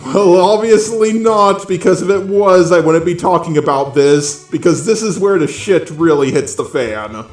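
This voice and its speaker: A man's voice: sits high, moderate pace, few pauses, reverberant. A man giving scripted YouTube commentary in a blunt, sardonic way, with casual swearing. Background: background music.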